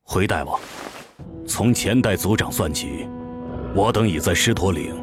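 A man's voice: low voice, expressive, unhurried, over music. A man voicing a dutiful tiger-demon father and clan chief in an audio drama, speaking with deferential restraint. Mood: humble, grave.

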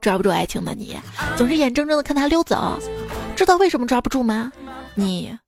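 A woman's voice: fairly high pitch, big pitch swings, brisk. A young adult woman hosting a radio joke show, reading quips in a playful, teasing way and laughing at her own lines. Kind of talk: reading jokes with laughs, casual radio talk. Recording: music bed under the voice. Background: background music.

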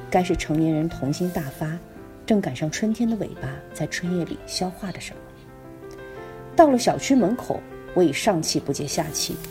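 A woman podcast host narrating a literary first-person story as a scripted reading. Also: lowish voice.

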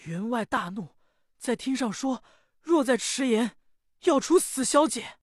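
A young maid, high and urgent, rushing to deliver warnings, voiced by a woman narrator. Dry, close. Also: animated, no echo. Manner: urgent, breathless reporting. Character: hurried young servant girl.

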